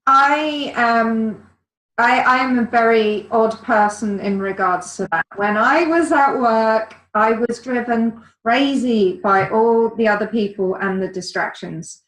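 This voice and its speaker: A woman being interviewed as a guest, answering in a measured, orderly way with some fillers. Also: fairly high pitch, a bit bright. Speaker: guest, virtual assistant. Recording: echoey room.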